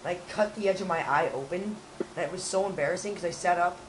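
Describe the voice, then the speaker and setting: Young male co-host, hoarse and raspy, rambling, faint noise behind. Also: sits high, quick pace. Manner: rambling, restarts often. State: hoarse from a cold. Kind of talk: casual chat.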